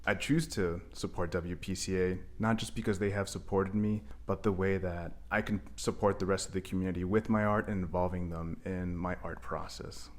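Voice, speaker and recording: A man's voice: deep voice, steady tone, normal speed, hardly any pauses. A man from Mexico, raised in Milwaukee, speaking as an artist in a reflective interview, earnest and wordy in his phrasing. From Cancun, Mexico, raised in Milwaukee. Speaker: live painter and visual artist. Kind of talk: reflective interview monologue about their work.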